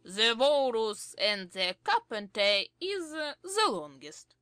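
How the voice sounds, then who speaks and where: Bright, sharp, pompous Tweedledee played by a woman. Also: on the high side, sing-song, slow, clean tone, faint music behind, heavily acted. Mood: reciting. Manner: pompous, reciting. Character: Tweedledee, contrary pompous comic twin brother. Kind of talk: dramatized audiobook acting.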